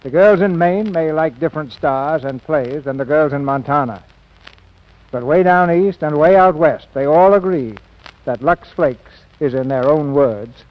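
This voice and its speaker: A man presenting and narrating an old radio play in a polished, theatrical scripted style. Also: medium pitch. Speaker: radio show host and narrator. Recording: dull old radio broadcast recording.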